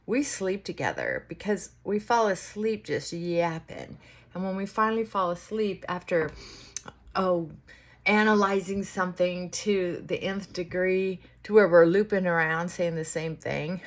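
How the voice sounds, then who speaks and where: A woman vlogger talking in casual, rambling chit-chat, reflective and halting, often trailing off and circling back. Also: lowish voice, lively intonation.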